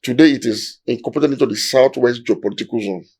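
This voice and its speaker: A man's voice: mid-range voice, quick pace, creaky, a little mellow, breathy edge. A Nigerian man with a Nigerian accent, explaining history in a discussion in an emphatic, lecturing way, building his points step by step.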